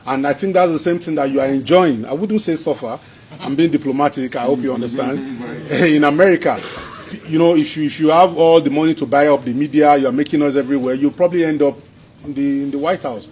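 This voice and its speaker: A man with a Yoruba-accented Nigerian English voice, pitched somewhat above the usual male range. He talks to a hall audience as a traditional king, in a loose conversational way, chuckling often and circling back over his own phrases. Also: fairly quick, a little mellow. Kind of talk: informal speech and Q&A answers.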